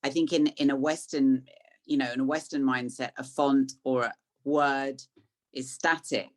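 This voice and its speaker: Effusive interviewer, mid-pitched voice. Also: fairly even intonation, normal speed. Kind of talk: conversational interview questions.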